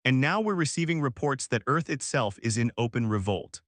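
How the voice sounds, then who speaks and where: A man's voice: mid-range voice, animated, fairly quick, fairly bright tone, dry recording, clean studio sound, lightly acted. A man playing a stressed, scaly female alien fleet coordinator who gives anxious military reports in a story. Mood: alarmed.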